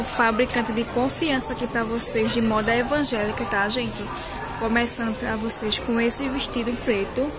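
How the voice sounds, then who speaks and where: A woman filming a walking price tour of clothes in a busy indoor market, talking to her viewers in a chatty, upbeat way. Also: on the high side. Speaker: shopping vlogger. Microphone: handheld phone camera. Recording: loud market crowd and music. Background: loud music and crowd chatter.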